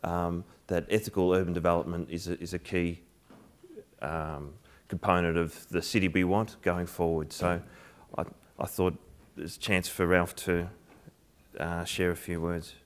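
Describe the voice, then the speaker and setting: A man's voice: deep voice, little pitch movement, a little slow. A man, chairing a conference and introducing the next speaker with many fillers. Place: large lecture theatre.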